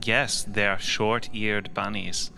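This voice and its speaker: A man's voice: lowish voice, level tone, takes it slowly, vocal fry, bright, forceful tone, slight background noise, poor audio. A man playing a tabletop game, casually improvising narration and banter.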